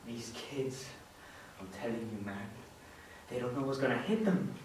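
A man's voice: fairly high pitch, sing-song, unhurried. Here is a man acting a spiteful male schoolteacher from a mockumentary drama. He is theatrical and menacing, with widely swinging intonation. The sound is noisy and distant from the mic. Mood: gloating. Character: gloating, vindictive male schoolteacher, older, theatrical villain. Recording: roomy, noisy, off-mic amateur recording.

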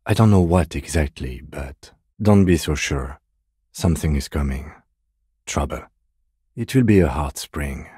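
Dry police detective, very low dark voice, husky, deadpan, patient, video game dialogue. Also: male voice, dry recording, exaggerated voice. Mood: dry, understated. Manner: measured, restrained, deadpan.

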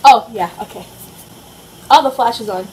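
Young woman, high childlike voice, playful, casual cooking vlog, noisy kitchen recording. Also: normal speed, darkish tone. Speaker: amateur cooking vlogger. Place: home kitchen, indoors.

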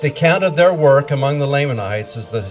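A middle-aged man narrates a scripture pageant outdoors through a handheld microphone. His voice sits at an ordinary male pitch. Music plays clearly behind him as he reads. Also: expressive, fairly quick. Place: outdoor wooded stage. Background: music playing.

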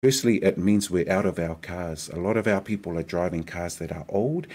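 A man's voice: sits low, normal speed. A man, a political candidate answering interview questions earnestly in a small studio at a desk microphone. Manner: earnest, persuasive, animated hand gestures. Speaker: mayoral candidate guest. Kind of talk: conversational interview. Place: small studio with decorated backdrop.

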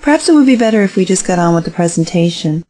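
A woman's voice: lowish voice, expressive, quick pace, dark tone. A woman acting a polite, businesslike investor in an audio drama. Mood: diplomatic. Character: polite, businesslike investor smoothing things over.

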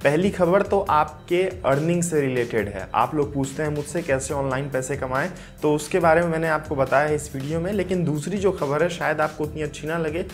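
A young man in his twenties hosting a tech news channel, presenting upbeat and direct to camera in a home studio, speaking on a lapel mic. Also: on the high side. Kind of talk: scripted tech news explainer. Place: indoor home studio with lit gaming setup.

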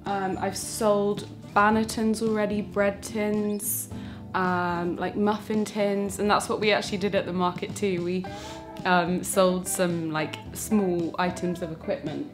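A woman's voice: mid-range voice, little pitch movement, unhurried, music in the background. A young woman in her twenties, talking casually to camera as a vlogger in her small home bakery kitchen, with lots of fillers and restarts. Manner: chatty, warm-hearted, many fillers and restarts. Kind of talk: casual vlog talk to camera.

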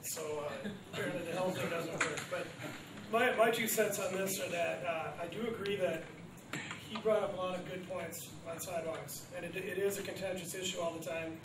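A male council member speaks his mind in a blunt, wry way. His voice runs high for a man. The recording is distant, with echo and noise. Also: steady tone, normal speed. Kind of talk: public meeting discussion. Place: council chamber. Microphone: far room camera. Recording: echoey room from far off with background noise.

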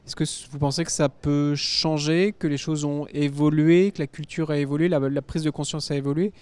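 A man's voice: a little high, little pitch movement, normal speed, darkish tone, smooth. A man in his forties with an accent from France, asking questions as the host of an interview, speaking into a handheld microphone. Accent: French from France. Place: indoor interview set at a convention.

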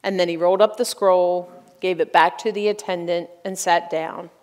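A young woman reading scripture in a measured, formal way at a lectern in a church sanctuary. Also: fairly low pitch, fairly even intonation. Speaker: scripture reader. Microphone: stand mic at a lectern. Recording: reverberant church hall.

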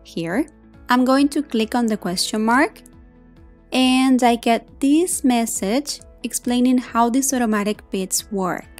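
A woman's voice: on the high side, slow. Woman doing a how-to screen recording voiceover, walks you thru it step by step, bit of music behind. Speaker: software tutorial narrator. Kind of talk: instructional screen-recording narration. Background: background music.

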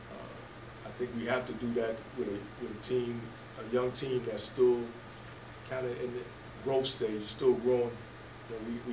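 An older man coaching basketball, answering press questions haltingly with many fillers and restarts. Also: mid-range voice. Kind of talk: postgame press conference answers. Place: press room at a table before a team backdrop. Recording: echoey room, distant mic, noisy.

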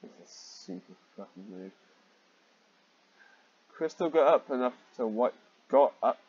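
Male reader, husky mid-pitched voice, sarcastic and exasperated, swears freely; dull home recording. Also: animated, measured pace, darkish tone. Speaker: reader and commentator of fan fiction. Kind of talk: reading fan fiction aloud with mocking asides.

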